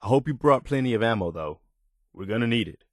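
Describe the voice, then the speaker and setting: A man's voice: mid-range voice, expressive, fast, no echo, studio quality, close to an everyday voice. A man playing an earnest, loyal militia officer in a video game, speaking sincerely and plainly with a sense of duty. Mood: wary, determined. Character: earnest, steadfast militia officer, loyal adult male companion. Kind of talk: acted video game dialogue.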